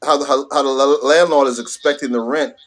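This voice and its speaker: A man's voice: medium pitch, steady tone, fairly quick, a bit bright. A man chatting casually as a radio host, emphatic and repeating phrases for stress.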